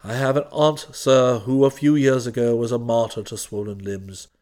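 A man voicing an unflappable, deferential English valet in a storybook reading, with formal, measured and faintly superior phrasing. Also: mid-range voice, steady tone, a little mellow, voice pushed down, close to an everyday voice. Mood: placid, deferential.

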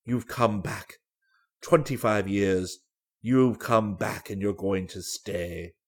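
A man voicing a blunt, sentimental old vaudeville performer with a husky voice and emphatic phrasing, in a storybook reading. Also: lowish voice, fairly even intonation, slow pace, voice pushed down, full character voice. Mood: pleading, tender.

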